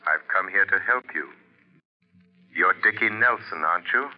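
An actor playing a suave, wealthy amateur sleuth with a measured, wryly understated delivery in old-time radio drama style. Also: male voice, low voice, dull audio, close to an everyday voice. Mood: calm, reassuring. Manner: measured, deliberate, dry understatement. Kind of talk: 1930s-40s radio drama acting.

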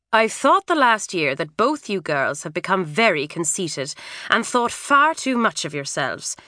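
A woman's voice: fairly low pitch, sharp tone, no room sound, studio quality. A woman voicing a stern, pipe-smoking middle-aged father who lectures his daughters.

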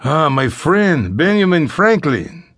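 A man's voice: fairly high pitch, big pitch swings, slow, fairly bright tone, no room sound, clean studio sound, voice pushed up, full character voice. A man voicing an arrogant, taunting kidnapper gang leader in Spanish-accented English for an audiobook. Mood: arrogant, mocking. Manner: taunting, sneering.

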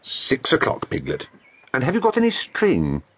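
A man voicing a slow, amiable, greedy bear in a dramatised children's story, musing and hesitant in a husky voice. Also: a little high, huge pitch swings, a bit bright, full character voice. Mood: earnest, thoughtful. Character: Pooh, slow, dim, greedy, amiable honey-loving bear.

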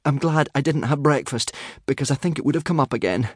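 A man's voice: medium pitch, normal speed, husky, dry recording, clean studio sound, natural voice. A man voicing a small, ordinary Viking boy in a storybook, anxious and dryly understated. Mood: queasy. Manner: gasping, understated.

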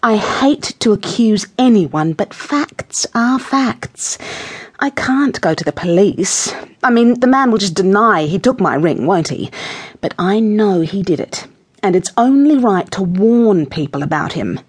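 A woman's voice: mid-range voice, animated, a little slow, darkish tone, slightly rough. A woman voicing a fussy, gossipy older woman neighbour in a children's storybook, twittering and insistent with a sugary edge. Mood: solemn, self-righteous. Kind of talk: children's audiobook character voice.

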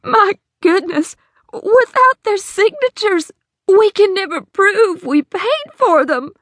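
Brief: a woman doing a frantic, cheated housewife in a storybook, high and trembling, voice leaping all over. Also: very animated, normal speed, fairly bright tone, dry recording, studio quality, full character voice. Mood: despairing. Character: distraught middle-aged woman cheated of her savings.

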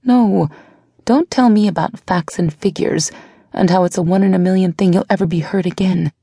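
A woman's voice: on the low side, normal speed, creaky, warm tone, husky, dry recording, studio quality, voice pushed up. A woman reading an audiobook voices a fiery, devoted young woman who scolds and pleads with her partner. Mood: emotional. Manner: pleading, insistent.

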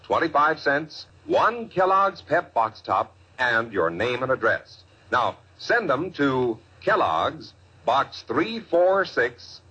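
A man's voice: on the high side, bright, forceful tone, husky. A man announcing a 1950s children's radio advertisement with an emphatic, enthusiastic sales manner. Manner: emphatic, addresses young listeners. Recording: dull vintage radio recording.

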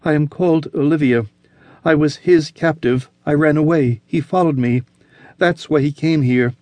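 A man's voice: on the high side, expressive, a little slow, mellow, no echo, voice pushed up. A man voicing a terrified young captive woman who pleads in a raised, frantic voice. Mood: pleading.

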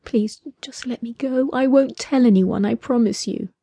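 A woman's voice: a little high, moderate pace, warm tone, slightly rough, no echo, voice pushed up. A woman playing a terrified young captive. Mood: pleading. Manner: pleading, stammering.

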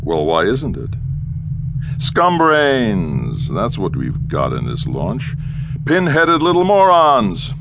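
Harsh colonel, audio drama. Barking, scornful, deep-leaning and very animated, over clear vehicle rumble. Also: male voice, slow, heavily acted. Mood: sneering, demanding. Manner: barking, sarcastic, interrogating. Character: harsh, scornful military colonel berating young recruits. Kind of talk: full-cast audio drama.

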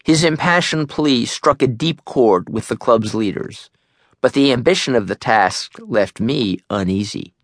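Male audiobook narrator for nonfiction memoir: mid-pitched, animated intonation, measured, articulate delivery, studio recording. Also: dry recording.

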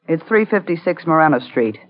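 A woman's voice: sits low, very animated, brisk. Tough bitter woman, slangy, sarcastic, 1940s radio acting, dull vintage recording. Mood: bitterly sarcastic. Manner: sarcastic, hard-boiled, slangy. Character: tough, greedy, bitter woman selling an address. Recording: muffled old radio recording.

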